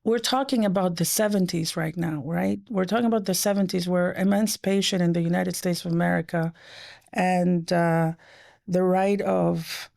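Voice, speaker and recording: A middle-aged woman guest, an economist whose first language was French, telling stories in an animated way, with quick bursts and soft, hushed quoting. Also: lowish voice, gravelly. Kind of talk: conversational interview.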